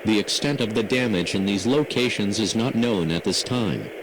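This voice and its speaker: A man reading a scripted emergency broadcast announcement in a formal, measured, impersonal manner. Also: on the low side. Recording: noisy emergency radio broadcast audio.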